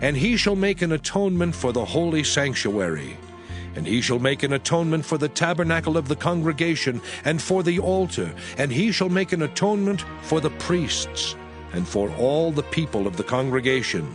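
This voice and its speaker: A man narrating scripture in a solemn, expressive scripted reading. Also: fairly high pitch, normal speed. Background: music.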